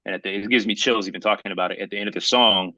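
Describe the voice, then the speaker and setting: American man, low bright forceful voice, animated slangy delivery, casual podcast co-host, close dry sound. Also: rapid-fire, no room sound. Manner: animated slangy talk, often saying "bro".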